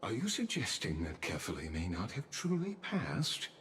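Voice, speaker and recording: A man's voice: fairly low pitch, huge pitch swings, fairly bright tone, a little hiss or hum. A man playing a suave, inscrutable official in a video game, speaking with sly politeness and courtly, theatrical phrasing. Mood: intrigued. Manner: courtly, unhurried, smooth-tongued, theatrical. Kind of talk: dramatic video game voice acting.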